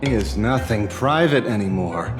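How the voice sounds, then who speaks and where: An actor playing a broke, sleepy, sardonic detective in a video game, with a dry, dramatic, wryly cynical manner. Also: male voice, fairly low pitch, lively intonation, a little slow, in a large hall, over music.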